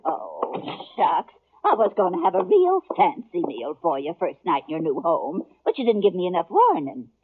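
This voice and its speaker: A woman's voice: on the low side, wildly swooping pitch, moderate pace, hardly any pauses, husky, exaggerated voice. An actress playing a bossy, motherly middle-aged aunt in a Western radio drama, with an American accent and folksy, insistent chatter. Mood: chatty. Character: bossy, fussing, motherly aunt in the Old West. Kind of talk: 1950s radio drama acting. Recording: muffled 1950s radio recording.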